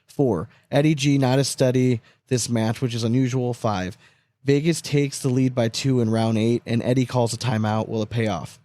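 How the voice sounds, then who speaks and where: A man's voice: mid-range voice, fairly even intonation, normal speed, mellow, dry recording. A man co-hosting a sports podcast in casual chat, candid and loose, swearing freely and laughing between points. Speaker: podcast co-host.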